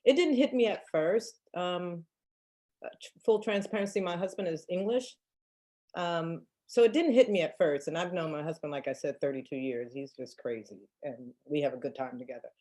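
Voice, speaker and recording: A woman's voice: lowish voice, normal speed, dark tone. Fifty-something woman telling her story, emphatic, laughs a lot. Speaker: real estate agent guest. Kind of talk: casual storytelling Q&A.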